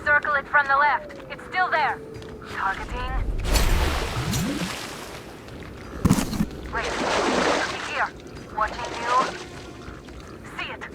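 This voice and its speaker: An actress playing a tough, sardonic young sniper in a game, curt and commanding. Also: female voice, high voice, a little slow, pauses often. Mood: tense urgent. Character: tough sardonic young female sniper in post-apocalyptic Moscow. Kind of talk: video game voice acting. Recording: noisy game battle ambience.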